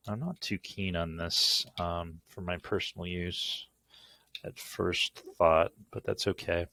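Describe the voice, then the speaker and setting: A man's voice: low-pitched, creaky, a bit bright, no room sound. A middle-aged man rambling casually through a product unboxing in a goofy, grumbling, mildly profane way. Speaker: unboxing video host.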